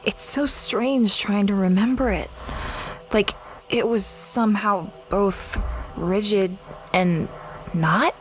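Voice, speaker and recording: A woman's voice: medium pitch, slow, slight background noise, natural voice, low-quality recording. A woman playing a dreamy space explorer who recounts her adventures in a reflective, conversational way, as audio drama narration. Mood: awed, reflective.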